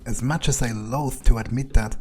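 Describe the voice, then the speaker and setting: A man role-playing a ruthless, brooding vampire in a game playthrough, thinking aloud in a halting, coldly wry way. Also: mid-range voice, lightly acted. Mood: wry musing. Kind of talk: in-character game playthrough narration.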